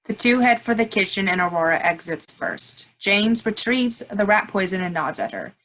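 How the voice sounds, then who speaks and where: A woman's voice: medium pitch, expressive, normal speed, a bit bright, low-quality recording. A woman reading out stage directions as scripted narration at a play's table reading.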